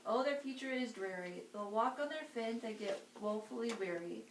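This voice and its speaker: A woman voicing a small, grumpy creature with a croaky voice who scolds a polluter for harming the animals. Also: animated, moderate pace, close to an everyday voice. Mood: indignant. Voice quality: raised pitch, coughing and croaky. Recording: roomy, distant, faint room noise.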